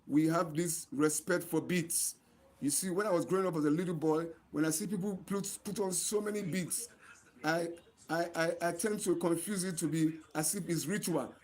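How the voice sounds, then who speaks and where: A man with a Nigerian accent speaking earnestly to viewers as a channel host, repeating himself for emphasis. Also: on the high side. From Nigeria, Delta State. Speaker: host of an online channel, NGO advocate. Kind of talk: livestream monologue addressed to viewers.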